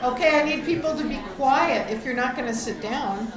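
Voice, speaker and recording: A middle-aged woman giving a loud, grateful, enthusiastic speech through a microphone to open a membership meeting. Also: high-pitched, animated, moderate pace. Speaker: outgoing board member opening a meeting. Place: event hall with an audience. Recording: echoey hall with a faint crowd.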